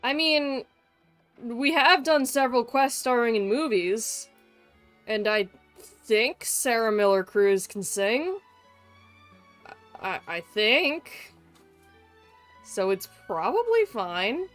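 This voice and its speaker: Young female streamer, casual irreverent commentary, higher voice, dry sarcasm, game music behind. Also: expressive, slow pace, pauses often, a bit bright. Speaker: livestreamer.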